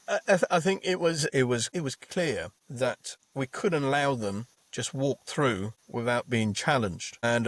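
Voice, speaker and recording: A man's voice: mid-range voice, no room sound. A man, earnestly telling how he became a trade unionist, often repeating words as he starts a thought. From Bangladesh. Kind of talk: reflective interview answers. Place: podcast studio.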